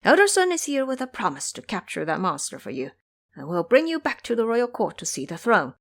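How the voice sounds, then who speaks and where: A woman's voice: fairly high pitch, big pitch swings, a little fast, voice pushed up. A woman voicing a cocky, teasing male monkey king in an audio drama, boastful, preachy and theatrical in manner. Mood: reassuring.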